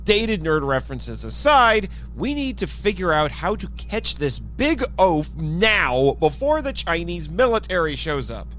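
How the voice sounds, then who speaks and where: A man narrating a scripted first-person adventure story in a theatrical, expressive way, acting out his own dialogue as he goes. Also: high-pitched, measured pace, hardly any pauses, a little hiss or hum. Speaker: podcast host narrating an audio story.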